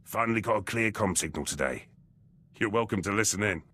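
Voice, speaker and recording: A gruff special-forces lieutenant from a video game. The voice is very low and husky, with a hard, forceful edge. He speaks in clipped, cynical phrases, as if every word costs him. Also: a little fast. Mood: dry, matter-of-fact. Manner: terse, clipped phrases that drop words. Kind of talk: video game character dialogue.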